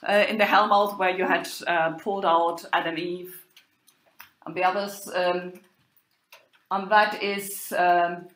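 Woman lecturer talking slow, lots of ums, echoey room. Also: fairly low pitch, fairly bright tone. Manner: unhurried, many ums and uhs. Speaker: university lecturer. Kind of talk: lecture on medieval drama.

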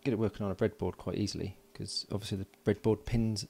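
A man giving casual hands-on commentary as an electronics hobbyist, informal and halting as he thinks aloud while working. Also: fairly low pitch, steady tone, gravelly, warm tone, husky. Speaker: electronics hobbyist presenting a build. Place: indoors at a workbench.

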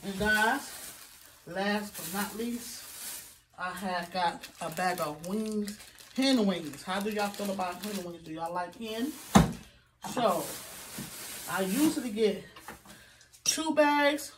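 A woman's voice: mid-range voice, slow pace, long pauses. Chatty middle-aged woman in her kitchen. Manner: chatty, talks to viewers, many fillers and "okay? guys". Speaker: vlogger. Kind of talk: casual grocery haul vlog. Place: home kitchen, indoors. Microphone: phone camera on counter, a few feet off. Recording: distant phone mic with background noise.

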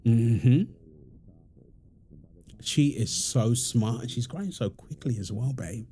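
A man's voice: medium pitch, expressive, takes it slowly, warm tone, a little hiss or hum. A man playing a playful, teasing young husband and doting father in an intimate, affectionate domestic ASMR roleplay. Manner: teasing, affectionate, chuckling banter. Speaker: roleplay audio performer.

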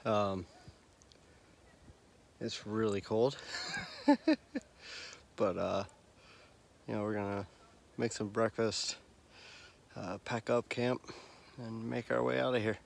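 A man in his thirties chatting casually and haltingly to a handheld camera as he vlogs a camping trip, in a laid-back way. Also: fairly low pitch, creaky, a little hiss or hum. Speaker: vlogger filming a camping trip. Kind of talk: casual vlog chat. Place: mostly outdoors in winter woods, later inside a car. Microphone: handheld camera at arm's length.

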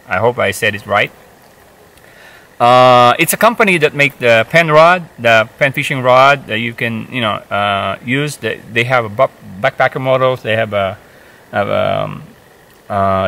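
A man's voice: mid-range voice, pushed and bright. A man in his fifties talking casually to camera while sitting outdoors in a forest, hesitant, with many fillers and restarts. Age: 50s. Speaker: hiking vlogger. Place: forest, outdoors, seated on a rock.

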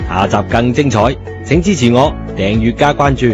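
A man's voice: medium pitch, normal speed. A man with a Cantonese accent narrating a scripted story in a colloquial style, as an audiobook reader. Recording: muffled narration over music bed.

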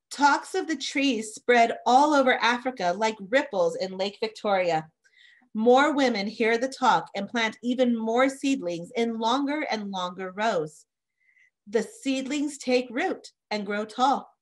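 Slow-reading woman, higher-pitched voice. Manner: careful phrasing, pausing to explain words simply. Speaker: read-aloud presenter for children. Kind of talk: children's picture book read-aloud. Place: small indoor room, home office.

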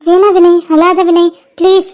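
Brief: a woman as a very high-voiced, worried older sister with a Tamil accent, comforting her crying brother. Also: flat delivery, unhurried, a little mellow. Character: protective elder sister, anxious and affectionate. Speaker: caring elder sister. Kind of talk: short-film drama acting.

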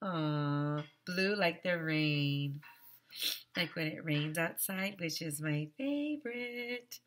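A woman in her thirties, a teacher, reading a picture book aloud to young children in a theatrical, exaggerated, sing-song manner with drawn-out words. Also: low voice, expressive, a little hiss or hum. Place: small decorated room, indoors. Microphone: headset.

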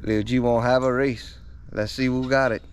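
A man's voice: mid-range voice, level tone, normal speed, slight background noise. A man, talking casually to his son and his viewers in an easygoing vlog filmed outdoors on a handheld camera. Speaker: vlogger. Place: outdoors on a bayou.

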